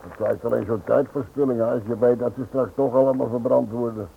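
A man's voice: mid-range voice, fairly even intonation, a little fast, vocal fry, close to an everyday voice. A man playing a grumbling criminal accomplice in a radio drama. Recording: tinny, noisy old radio recording.

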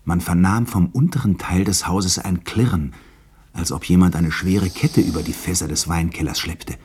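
A man's voice: sits low, normal speed, darkish tone, breathy edge. A man narrating a 1960s radio drama as a dramatic scripted story. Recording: studio radio narration with faint music behind. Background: faint music.

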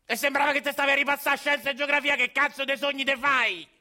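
An actor playing a cranky, foul-mouthed old grandfather who yells in a Roman accent. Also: very high voice, fairly even intonation, fast, voice pushed up, full character voice. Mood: yelling scold. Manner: yelling, crude, bitter complaining. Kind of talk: radio drama acting.

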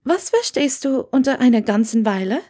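Woman voicing a high-pitched, hesitant young witch. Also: lively intonation, normal speed, mellow. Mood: curious, flustered. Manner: hesitant, stammering. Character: young witch, curious, hesitant, puzzled time traveller. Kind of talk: audiobook character dialogue.

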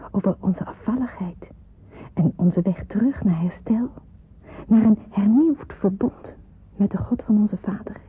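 An actress playing an earnest, devout young woman in wartime hiding in a radio drama, with a husky, breathy voice. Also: medium pitch, unhurried. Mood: devout, solemn. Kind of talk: radio drama acting with narrated monologue. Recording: muffled, phone-like radio play recording.

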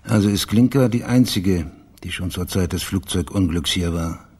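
Low-voiced, persistent male investigator. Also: moderate pace, darkish tone, breathy edge. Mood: politely probing. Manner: polite, insistent, matter-of-fact questioning. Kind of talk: radio crime drama dialogue.

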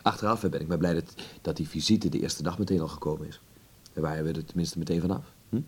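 A man's voice: very deep voice, steady tone, quick pace, a little mellow, husky. An actor playing a restless, ambitious young man of twenty-one in a naturalistic radio play, talking casually and reassuringly to his mother. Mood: casual, fond. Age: young adult.

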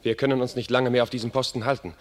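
An actor playing a loyal, fierce English prince in a medieval war, declaiming classical German verse with urgency. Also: male voice, mid-range voice, level tone, rapid-fire, lightly acted. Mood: urgent, grave.

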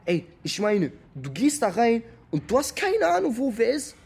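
An actor playing a brash, excitable young street tough in a naturalistic radio drama, speaking in blunt, colloquial slang. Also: male voice, very high voice, normal speed, vocal fry, slightly rough, heavily acted. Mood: excited. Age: young adult. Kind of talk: interview monologue in a naturalistic German radio drama.

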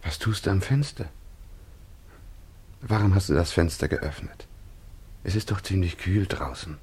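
An actor playing an impulsive, lovestruck young man in a radio drama, with a husky voice and an urgent, pleading manner. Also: sits low, a little slow, darkish tone, exaggerated voice. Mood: drowsy, puzzled. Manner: urgent, pleading, emotionally exposed. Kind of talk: German radio drama acting.

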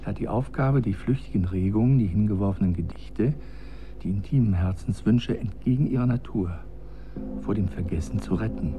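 An actor in a radio drama playing a humble, fearful court chronicler who recites gravely and deliberately. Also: male voice, on the low side, dark tone, a little hiss or hum, close to an everyday voice. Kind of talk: German radio drama acting.

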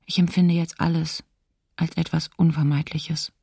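A woman playing a resigned diarist chronicling occupation, husky and creaky, in a radio docudrama. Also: sits low, level tone, normal speed, warm tone, dry recording, studio quality, heavily acted. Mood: resigned, sombre. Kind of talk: radio docudrama diary reading.

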